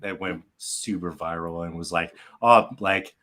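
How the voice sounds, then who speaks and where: A man's voice: low voice, steady tone, unhurried, bright, forceful tone. A man in a casual political panel chat, talking in a loose, slangy way with lots of "like". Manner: slangy, loose, heavy use of "like". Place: online group call.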